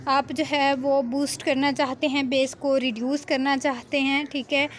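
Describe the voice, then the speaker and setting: A woman giving an informal, step-by-step software tutorial as an editing tutor, often adding small check-in tags. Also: high-pitched, flat delivery, normal speed, a little hiss or hum.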